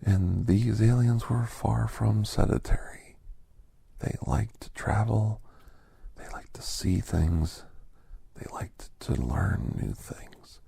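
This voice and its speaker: A man voicing a kindly, reflective older doctor in soft, half-whispered ASMR storytelling, with breathy, halting short phrases. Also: on the low side, no room sound, exaggerated voice. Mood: hushed, reflective. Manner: unhurried, pausing between short phrases. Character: older doctor recounting his gentle alien encounters, reflective, kindly.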